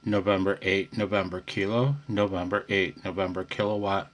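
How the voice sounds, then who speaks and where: A man's voice: low voice, level tone, moderate pace, heavy background noise. An American man chatting casually and in a friendly way as an amateur radio operator, recorded on a phone. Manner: friendly, chuckling, says "man" often. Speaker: amateur radio operator filming for his channel. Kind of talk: casual ham radio conversation. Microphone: handheld phone.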